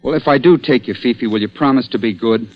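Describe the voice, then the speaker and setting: A man's voice: medium pitch, quick pace, close to an everyday voice. An actor playing a stubborn, exasperated man about town in a 1940s-style radio crime drama, speaking in a clipped, dramatic manner. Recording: dull old-time radio recording.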